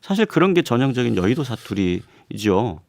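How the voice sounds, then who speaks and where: A man speaking as a guest professor on a radio current-affairs program. He explains his points in a measured, analytical way and now and then pauses on fillers. The studio sound is close and dry. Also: medium pitch, sing-song, creaky, dry recording. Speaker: political science professor guest. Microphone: studio microphone.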